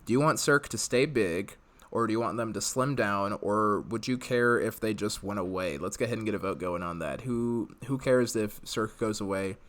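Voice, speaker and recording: American guy hosting a live podcast, lowish voice, casual and opinionated chat. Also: normal speed, fairly bright tone. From United States. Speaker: podcast host.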